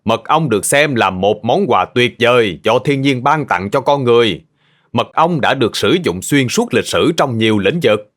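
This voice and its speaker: A man's voice: medium pitch, close to an everyday voice. A man voicing a friendly farm-owner uncle who patiently teaches children in an educational cartoon dub. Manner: patient, explanatory, addressing children gently.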